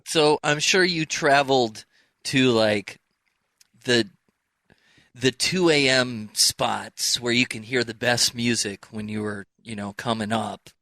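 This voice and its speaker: A man's voice: mid-range voice, takes it slowly, pushed and bright, no echo. An American man hosting a casual interview, asking questions in an informal, chatty way. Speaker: interview host.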